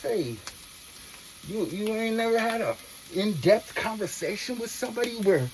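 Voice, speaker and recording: A woman's voice: mid-range voice, a little mellow, a little hiss or hum, low-quality recording. A woman talking casually to viewers in an earnest, reflective monologue, with slangy, profane phrasing. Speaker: vlogger.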